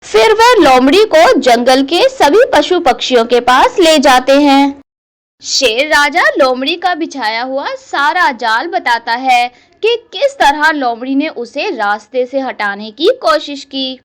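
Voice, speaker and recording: A woman narrates a children's cartoon fable from a script. Her voice is very high and animated, and she takes her time. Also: slow, pauses often.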